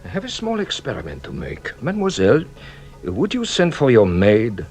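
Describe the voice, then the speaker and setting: An actor playing a courtly, shrewd French police detective in a radio drama, probing and ceremonious with a teasing, theatrical manner. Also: male voice, medium pitch, huge pitch swings, moderate pace, a little mellow, faint music behind. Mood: brisk, playful. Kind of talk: British radio drama acting.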